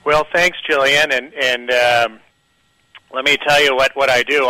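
Male guest, bright, slightly high voice, dry close sound, halting answers. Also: no echo, rough recording. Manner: measured with many fillers. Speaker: guest portfolio manager. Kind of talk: radio interview answers.

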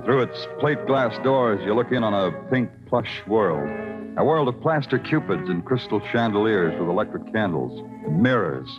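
An American man playing a terse, deadpan, world-weary police detective in a vintage radio crime drama. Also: lowish voice, lightly acted. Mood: brooding narration. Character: hard-boiled male night-shift Broadway police detective, world-weary. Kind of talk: 1950s radio crime drama acting and narration. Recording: dull vintage radio recording with music.

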